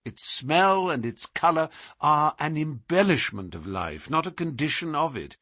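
A man voicing a brilliant, self-assured gentleman detective from a storybook, musing in a precise, declamatory and philosophical way. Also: a little high, very animated, measured pace, no room sound, clean studio sound, voice pushed up, full character voice. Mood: musing, rapt.

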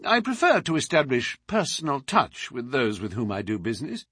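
A man's voice: medium pitch, huge pitch swings, normal speed, a bit bright, slightly rough, no echo, clean studio sound, pitched above the performer's own voice, exaggerated voice. A man voicing a cool adult male detective who asks precise questions. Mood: probing. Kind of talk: audiobook character reading.

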